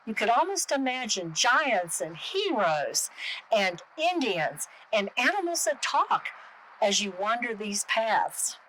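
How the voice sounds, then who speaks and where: A woman's voice: a little high, very animated, slow, fairly bright tone, slight background noise, poor audio. A woman presenting a storytelling show with a scripted host's introduction. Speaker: show host.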